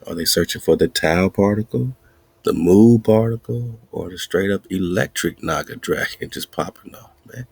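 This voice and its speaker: Casting: deep, gravelly male podcast host, rambling and excitable, husky tone, dry close sound. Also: normal speed, dry recording. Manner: excited, rambling, laughs often, wordplay. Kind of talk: casual commentary.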